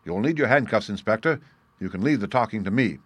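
A man's voice: on the low side, expressive, fairly quick, husky, no echo. A man voicing a masterful, composed detective in an audiobook, speaking in a precise, formal and authoritative way. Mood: quiet, commanding. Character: masterful English detective, composed, stern and assured. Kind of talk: audiobook character dialogue.

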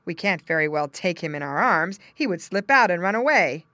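Woman reading as a doubtful, deliberate storybook father. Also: on the low side, lively intonation, bright, forceful tone, no echo. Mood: agreeable. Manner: deliberate, hesitant. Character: doubtful, indulgent father, a townsman. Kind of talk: children's storybook character voice.

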